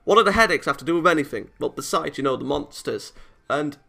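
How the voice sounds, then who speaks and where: A man giving off-the-cuff let's play commentary, excitable and stumbling over his words. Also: a little high, fast, gravelly, breathy edge. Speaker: let's play host reading a visual novel. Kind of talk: off-the-cuff gameplay commentary mixed with read-aloud.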